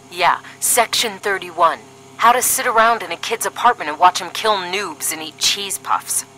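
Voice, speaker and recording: A woman's voice: medium pitch, measured pace, bright, forceful tone, slight background noise, low-quality recording. A woman acting as a sardonic, duty-minded young paramilitary agent in a scripted audio drama, dry and serious.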